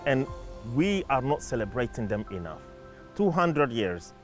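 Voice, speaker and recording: A man whose first language is French, talking in an earnest, measured and reflective way as a community campaigner in a documentary interview. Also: medium pitch, very animated, faint music behind.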